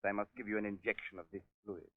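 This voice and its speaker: A man's voice: fairly low pitch, flat delivery, very fast, dry recording. A villainous middle-aged doctor of old radio drama. He is formal and exact, with cold menace under polite words. The sound is dull and very dry, like a vintage broadcast. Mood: coldly menacing. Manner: formal, precise, politely sinister. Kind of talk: 1930s radio drama acting.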